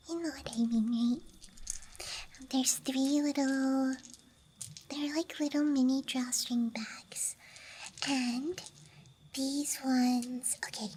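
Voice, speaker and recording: A woman whispers slowly in a breathy, hushed ASMR style, close to the mic. Her voice is light and on the high side, drifting gently from one thought to the next. Clear night outdoor ambience and small clicking sounds sit behind her. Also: a little hiss or hum. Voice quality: breathy whisper, mostly unvoiced. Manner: soft, hushed, gentle rambling. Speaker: ASMR streamer. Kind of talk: whispered ASMR, rambling show-and-tell. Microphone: close microphone. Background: night campground ambience, dice and case clicks.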